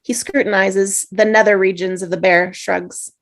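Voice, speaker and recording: A woman narrating the stage directions in a table read, with steady, measured script reading. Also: mid-range voice. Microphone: video call.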